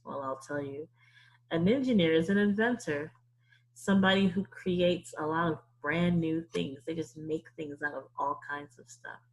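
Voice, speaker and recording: A woman with a fairly low, slightly husky voice teaches young children. She is animated and playful, guiding them through a read-aloud and asking them questions as she goes. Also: normal speed, poor audio. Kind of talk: interactive read-aloud lesson.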